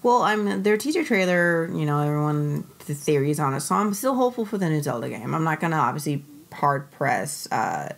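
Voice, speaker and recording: A woman with a low, deep-set voice co-hosts a casual gaming podcast chat. She has a cold and talks bluntly, swearing freely as she rants. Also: animated, fairly quick, vocal fry, few pauses. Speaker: podcast co-host.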